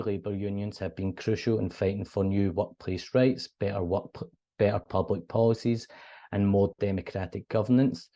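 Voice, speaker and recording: A man's voice: fairly low pitch, dark tone. A young Scottish man, a PhD student, giving a friendly, earnest academic presentation over a video call. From Scotland. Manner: friendly, earnest, with casual fillers.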